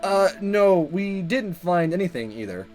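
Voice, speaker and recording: An animated, fairly high-voiced schoolboy talks in visual novel dialogue, played by a man. He sounds earnest, friendly and awkward, easily flustered, with lively swings in pitch. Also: unhurried, soft background music. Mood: casual.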